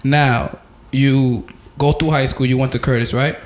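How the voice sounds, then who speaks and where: A man's voice: mid-range voice, fairly even intonation, unhurried. A young man hosting a podcast, talking in a boastful, slangy way with lots of fillers. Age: young adult. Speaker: podcast host. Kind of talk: casual interview chat.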